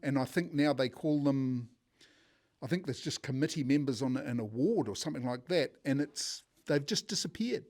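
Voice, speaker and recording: Older male, New Zealand accent, average pitch. Conversational, rambling reminiscence from a public forum attendee. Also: expressive, normal speed, darkish tone. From South Auckland, New Zealand.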